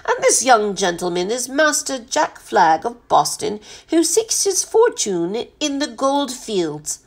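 A courteous butler from a storybook adventure voiced by a woman narrator. He is formal and unflappable, with lively intonation and some creak in the voice. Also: very high voice, takes it slowly, voice pushed up, heavily acted. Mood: composed politeness. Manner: formal, polite, composed.

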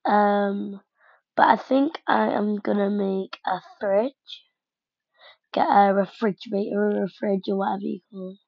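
A woman's voice: mid-range voice, steady tone, a little slow, gravelly, no echo. A woman casually narrating while she decorates a game house, thinking aloud with many fillers and hesitations. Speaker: gaming vlogger. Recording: dull lo-fi home mic.

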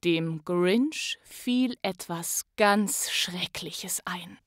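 Casting: female storyteller, dramatic and unhurried, bright tone, dry close sound. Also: on the low side, no room sound. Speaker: actress and voice artist, guest reader. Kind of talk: expressive storybook narration.

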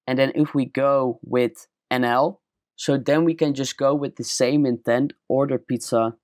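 A young man with a Dutch accent walking through a screen-recorded software tutorial step by step, in a plain explaining manner. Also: mid-range voice, steady tone, a little slow, dry recording, studio quality. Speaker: tutorial presenter.

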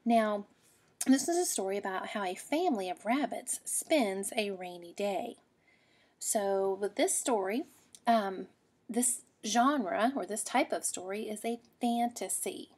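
Breathy, patient woman teacher. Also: medium pitch, measured pace, a little mellow. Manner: patient, questioning, with "okay?" checks. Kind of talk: read-aloud lesson to young children.

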